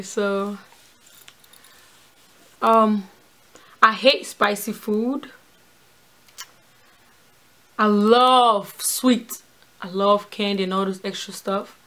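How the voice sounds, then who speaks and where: A woman in her twenties in a casual couple challenge video, chatty and quick to laugh, filming in a small room. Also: mid-range voice, fairly bright tone. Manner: chatty, filler-heavy, laughs easily. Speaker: co-host.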